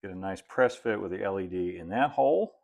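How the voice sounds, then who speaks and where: A middle-aged American man talks through a hands-on project in a fairly low voice with a gravelly edge. His delivery is casual and unhurried, with a folksy habit of thinking aloud as he works. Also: expressive, a little mellow. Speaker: host, model railroad builder. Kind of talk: casual hands-on tutorial talk while working. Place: indoor hobby workshop at a cluttered workbench and layout.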